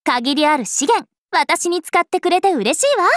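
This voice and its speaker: A woman playing a bright, playful young vampire in a video game, talking in a casual, confident, bubbly way. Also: extremely high pitch, animated, normal speed, no echo, clean studio sound. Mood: cheerful, eager. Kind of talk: scripted mobile game character voice lines.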